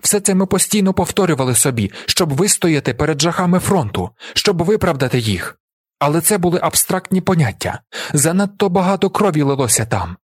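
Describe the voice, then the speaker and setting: A man's voice: on the high side, very animated, a little fast, husky, no room sound, clean studio sound, pitched above the performer's own voice. A man voicing an impassioned young war veteran pleading for a comrade in a dramatized audiobook, earnest and declamatory. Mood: impassioned, bitter.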